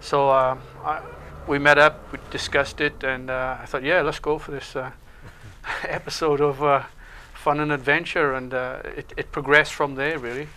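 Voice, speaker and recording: A Welsh man chatting casually in a local TV interview, talking about himself with lots of pauses and fillers. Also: on the high side, slight background noise. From Wales. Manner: relaxed, hesitant with many uh fillers. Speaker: show co-host and security instructor.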